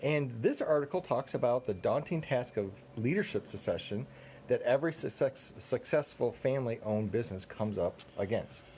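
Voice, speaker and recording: A man's voice: mid-range voice, expressive, moderate pace, mellow, slight background noise. A middle-aged man co-hosting a business discussion in a casual, folksy, joking way, half reading and half chatting. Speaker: co-host of a business talk show. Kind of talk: casual scripted discussion with banter.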